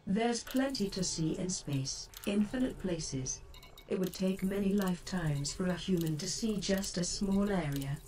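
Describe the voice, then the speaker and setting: A woman's voice: medium pitch, expressive, a little slow, darkish tone, close to an everyday voice. A woman playing a composed, philosophical shipboard artificial intelligence in a space game, speaking formally and instructively. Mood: solemn, instructive. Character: composed female ship artificial intelligence companion, advising and philosophical. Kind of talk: scripted game voice pack lines. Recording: distant, noisy game stream capture.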